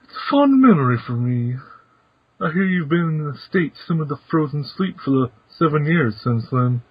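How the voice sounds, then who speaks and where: Guy reading as a kindly old retired chairman, husky, lively up and down, muffled dry recording. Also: a little high, moderate pace, no echo. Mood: gentle. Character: genial retired old male council chairman, teasing.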